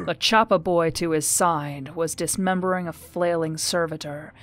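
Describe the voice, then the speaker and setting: Slow, even-toned woman narrating dark fiction. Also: deep voice. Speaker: audiobook narrator. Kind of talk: dramatic scripted fiction narration. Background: music behind the voice.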